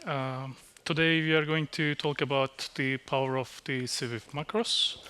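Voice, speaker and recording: A man, giving a technical conference talk on a dark stage, halting with fillers and restarts. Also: a little high. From Turkey. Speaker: conference speaker. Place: dark stage in a small venue.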